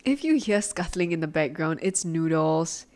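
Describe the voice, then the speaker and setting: A young Singaporean woman in her twenties talks through her solo podcast from her own apartment, casual and chatty. Her voice sits a little low for a woman, and she fills her sentences with "like" and quick asides. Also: animated, a little mellow. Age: 20s. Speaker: podcast host.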